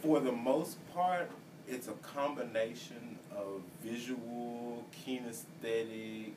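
A man's voice: medium pitch, takes it slowly. A 23-year-old male student giving precise, formally worded interview answers. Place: office computer room, indoors. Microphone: distant camera mic. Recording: distant mic with steady hiss.

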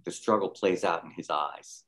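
A man with a deep voice narrates a screenplay. He reads out scene headings and stage directions as scripted narration. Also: normal speed, a bit bright.

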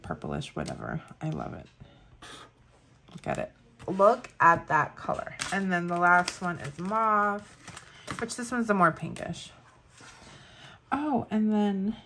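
A woman's voice: on the low side, vocal fry, a bit bright, a little hiss or hum. Chatty woman with a Spanish accent. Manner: chatty, gushing, many fillers. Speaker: planner vlogger. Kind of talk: casual product review. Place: indoors at a table, overhead camera on hands.

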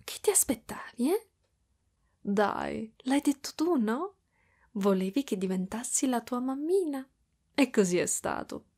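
A woman voicing a doting, clingy motherly spider-woman in an ASMR roleplay, speaking softly and affectionately with whispery stretches. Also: on the high side, expressive, measured pace, exaggerated voice. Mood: tender, playful. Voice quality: soft, breathy with whispery stretches. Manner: cooing, affectionate, giggly, pet names.

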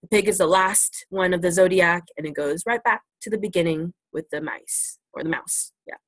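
A woman's voice: lowish voice, moderate pace, dry recording. A young woman presenting to students over a video call, talking casually with lots of ums and likes. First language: English. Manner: easygoing, many ums and likes. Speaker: student presenter teaching about China. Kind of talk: casual virtual classroom Q&A. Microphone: laptop webcam video call.

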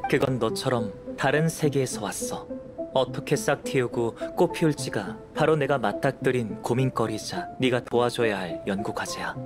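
Measured young scholar-alchemist, soft and even , a man voices him, music bed. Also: mid-range voice, lightly acted. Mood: calm. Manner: soft-spoken, measured, analytical. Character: calm young male alchemist, curious, scholarly. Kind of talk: game voice acting. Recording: with clear game music behind.

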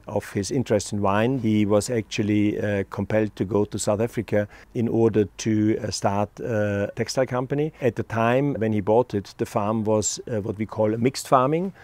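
Casting note: middle-aged Austrian-accented man, calm and halting delivery, dry close sound. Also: lowish voice, no room sound. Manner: measured, frequent "uh" pauses. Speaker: wine estate proprietor. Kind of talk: presenting a virtual wine tasting. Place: outdoors on a hilltop above a lake.

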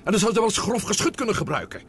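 Uneasy submarine passenger, lively pitch. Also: male voice, very fast, crowd noise. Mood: worried, excited. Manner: anxious, wondering. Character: uneasy, questioning man aboard a submarine, awed observer. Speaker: actor in a radio serial. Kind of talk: Dutch radio drama acting. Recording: noisy old radio drama recording.

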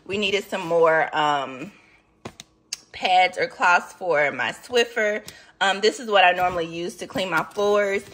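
A woman's voice: lowish voice, takes it slowly, a bit bright. A woman in her thirties from the American South with a Southern accent, chatting casually to camera while showing her groceries. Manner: chatty, says "y'all" often. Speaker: vlogger. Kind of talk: casual grocery haul chat.

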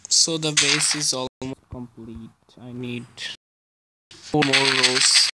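Slow Bangladeshi gamer, very noisy recording. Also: mid-range voice, steady tone. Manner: halting, non-fluent English. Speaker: gaming video creator. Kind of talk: casual gameplay commentary.

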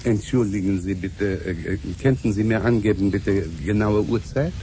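An actor playing an over-polite, hesitant scientist with a foreign accent and broken syntax in a 1970s German radio drama. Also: male voice, fairly low pitch, moderate pace, lightly acted. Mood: courteous, chatty. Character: polite, hesitant, fawning foreign scientist and small-time inventor. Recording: 1970s radio play, faint noisy ambience.

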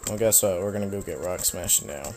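A young man casually commenting while he plays a video game, rambling and self-mocking, his voice slightly raspy from a cold. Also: sits low, brisk, music in the background. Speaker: let's play host.

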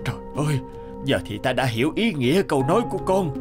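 A man's voice: a little high, expressive, quick pace. A man playing a remorseful old count and loving father in a cartoon fairy tale, speaking emotionally, with music behind him.

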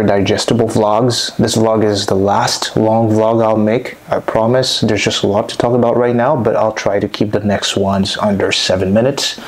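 A man in his thirties from Congo, a native French speaker, giving an earnest, measured vlog monologue into a lapel mic in a small dim room at home. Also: on the low side. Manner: measured, earnest, reflective delivery. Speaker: vlogger. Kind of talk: scripted personal vlog monologue. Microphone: clip-on lapel mic.

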